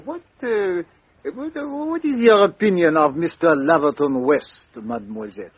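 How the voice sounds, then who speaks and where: Radio drama sleuth: courteous, husky, slightly high voice, swooping pitch, halting repetitions. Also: male voice, expressive, no echo, exaggerated voice. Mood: wry, probing. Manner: hesitant, repeating words, courteous and probing. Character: polite, inquisitive foreign male private detective. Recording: dull, lo-fi radio drama recording.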